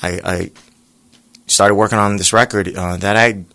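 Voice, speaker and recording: A singer being interviewed, talking in a relaxed, rambling way with lots of pauses and fillers. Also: male voice, fairly low pitch. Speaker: singer guest. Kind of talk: casual interview chat.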